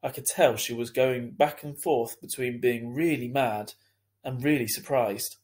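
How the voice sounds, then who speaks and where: Guy teacher reading a kids novel out loud, medium pitch, deliberate expressive storytelling. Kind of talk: children's audiobook narration.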